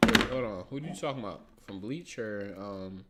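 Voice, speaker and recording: Low voiced guy co-hosting a podcast, just chatting casually. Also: animated, normal speed, heavy background noise. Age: adult. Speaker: podcast co-host. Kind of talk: casual chat about anime.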